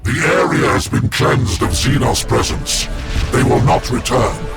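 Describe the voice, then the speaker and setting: An actor playing an ancient warrior-psyker sealed in a war machine, speaking formally and solemnly as the game's narrator. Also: male voice, medium pitch, unhurried, vocal fry. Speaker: librarian, narrator. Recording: with loud game music behind.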